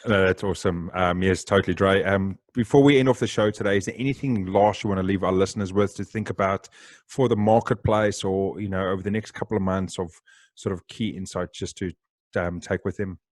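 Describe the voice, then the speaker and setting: A South African man hosting a podcast, talking loudly, fast and with excitement in casual interview chat. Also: low-pitched, no room sound, clean studio sound. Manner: loud, excitable, talks fast. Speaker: podcast host.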